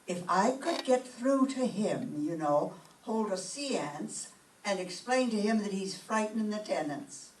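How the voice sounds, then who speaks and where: A woman playing an excitable, sentimental older Irish widowed landlady in an old-time radio comedy, with an Irish accent. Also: medium pitch, a little slow, natural voice. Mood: earnest. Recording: echoey room, distant mic, faint noise.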